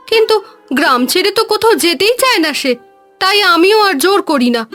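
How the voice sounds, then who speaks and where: A woman's voice: very high voice, a bit bright. A woman voicing a patient village wife in a cartoon, who comforts her husband.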